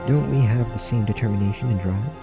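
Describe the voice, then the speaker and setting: A man's voice: fairly low pitch, quick pace, muffled recording, lightly acted. A man reading out game cutscene dialogue, voicing earnest young student warriors in a fantasy story. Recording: music under the voice.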